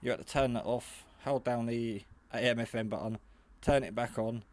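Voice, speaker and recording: A man's voice: medium pitch, fairly even intonation, moderate pace, a little mellow. A man giving a casual, hands-on product demonstration in a hesitant, rambling, matter-of-fact way. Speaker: hobbyist radio reviewer. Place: garage.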